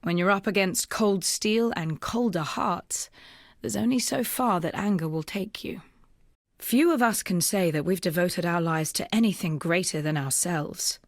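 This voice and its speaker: An actress voicing a weary, earnest young rebel woman, a wounded freed slave, in measured, solemn video game dialogue. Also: on the low side, dry recording, studio quality, natural voice.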